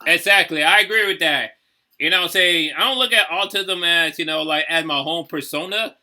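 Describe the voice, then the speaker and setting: A man's voice: fairly high pitch, fairly quick, sharp tone. A man in his thirties talking casually as a reaction video host, rambling and often repeating "you know what I'm saying". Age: 30s.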